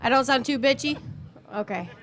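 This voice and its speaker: A woman with a high voice announcing a raffle to a gathered crowd, playful and teasing as she reads out ticket numbers slowly. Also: lively intonation, fairly bright tone. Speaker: raffle announcer at a race event.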